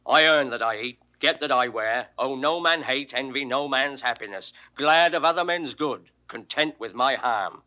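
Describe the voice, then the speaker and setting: An actor playing a plain, honest country shepherd, earnest and rustic, in a classical Shakespearean audio drama. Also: male voice, medium pitch, normal speed, few pauses, pushed and bright, natural voice. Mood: proud, content. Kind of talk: classical Shakespearean stage acting.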